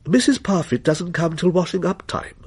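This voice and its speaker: A man's voice: high-pitched, moderate pace, a little mellow, slightly rough, pitched above the performer's own voice. Man reading as a proper, cautious mother.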